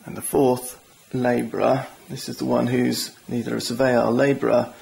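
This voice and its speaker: Gravelly, even-toned man explaining rules. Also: medium pitch, normal speed, rough recording. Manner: chatty, enthusiastic, self-deprecating asides. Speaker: board game channel host. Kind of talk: tabletop game rules walkthrough.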